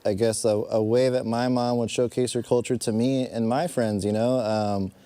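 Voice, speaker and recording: A man's voice: mid-range voice, fairly even intonation, moderate pace, mellow. A young man from the Bay Area, a filmmaker speaking as a guest on a live panel in an easygoing, enthusiastic, loosely worded way. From San Francisco Bay Area.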